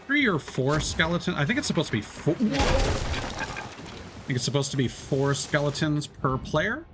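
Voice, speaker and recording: A man's voice: fairly high pitch, big pitch swings, normal speed, heavy background noise. A man casually talking through his gameplay as a streamer, chatty and thinking aloud with frequent self-corrections.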